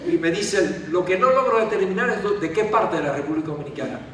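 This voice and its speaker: A man's voice: sits high, animated, fast, crowd noise. A man from Cuba, a writer, telling a self-deprecating anecdote and answering questions at a literary gathering. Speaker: author. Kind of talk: storytelling talk and answering questions. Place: large event hall. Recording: echoey hall from far off, faint noise.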